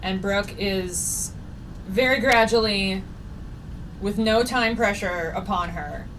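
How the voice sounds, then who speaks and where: A woman's voice: medium pitch, slow pace, a bit bright. A woman author hosting a casual livestream, rambling with frequent laughs and fillers. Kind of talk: casual chat while streaming a game. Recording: distant mic with noticeable noise.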